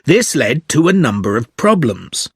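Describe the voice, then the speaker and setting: A man playing a zoology student giving a scripted, measured, explanatory presentation. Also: mid-range voice, sing-song, breathy edge, dry recording, studio quality, full character voice. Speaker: actor in an exam listening recording.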